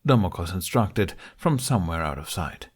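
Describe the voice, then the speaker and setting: A man's voice: low voice, sing-song, moderate pace, breathy edge. A man reading a fantasy adventure story aloud as a scripted audiobook narration.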